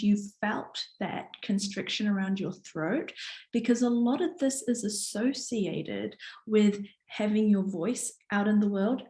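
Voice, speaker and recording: A woman's voice: medium pitch, dark tone. Woman coach with strained voice, roomy echo. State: strained voice from partly lost voice. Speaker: coach hosting a live online challenge. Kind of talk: casual live-stream talk to followers. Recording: roomy, echoing live stream.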